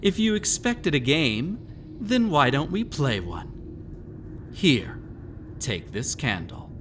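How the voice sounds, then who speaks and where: A man's voice: a little high, big pitch swings, takes it slowly, a bit bright, slight background noise, full character voice. A man playing a sinister, centuries-old master of a haunted estate in a dramatic audio roleplay, teasing and taunting theatrically. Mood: whimsical threat. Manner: teasing, taunting, theatrical, with frequent sly chuckles.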